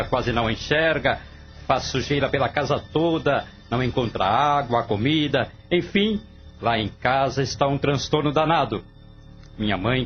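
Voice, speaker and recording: A man's voice: mid-range voice, close to an everyday voice. An actor playing a callous, arrogant man in a radio drama, brash, insistent and full of complaint. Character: callous, insistent pet owner, arrogant about his money. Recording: dull lo-fi audio, music behind.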